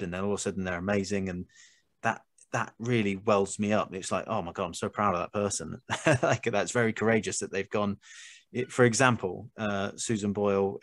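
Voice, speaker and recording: A man's voice: lowish voice, dry recording. A British man hosting a casual conversational interview, talking earnestly and rambling a little as he thinks. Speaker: podcast host.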